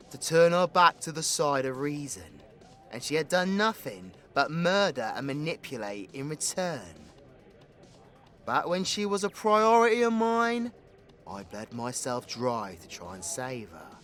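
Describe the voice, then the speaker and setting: Man acting as a cocky, slow-talking British supervillain. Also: mid-range voice, a bit bright. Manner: slangy, cocky, swearing. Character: brash, foul-mouthed, teasing male supervillain, dangerous but loyal. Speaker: audio roleplay voice actor. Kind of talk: villain audio roleplay, spoken to the listener.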